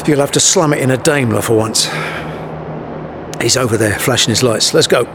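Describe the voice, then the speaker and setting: An actor playing a touchy, cynical British secret agent in an audio drama, blunt and sardonic with grudging deference. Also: male voice, mid-range voice, normal speed, background noise, natural voice.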